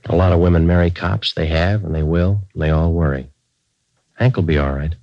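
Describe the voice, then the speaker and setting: An American actor playing a stoic police detective sergeant in a 1940s radio crime drama, speaking in terse, matter-of-fact, deadpan phrases. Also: male voice, sits low, a little fast, no room sound. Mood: grave, reserved. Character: stoic, clipped police detective sergeant, narrating his cases. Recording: muffled old 1940s radio recording.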